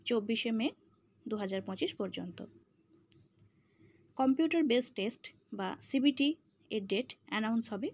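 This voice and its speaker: Higher-pitched Indian Bengali woman presenting, noisy recording. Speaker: presenter of a job updates channel. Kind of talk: scripted informational narration.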